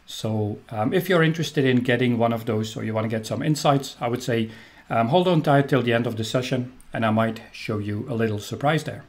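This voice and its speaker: A man's voice: medium pitch, fairly quick. Man, Belgian accent, middle-aged, technical trainer, enthusiastic, home webcam recording. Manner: upbeat, enthusiastic, with frequent um fillers.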